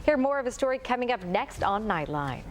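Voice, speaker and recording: Crisp female local news anchor. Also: on the high side, very animated, fairly quick, a little hiss or hum. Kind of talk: scripted news narration.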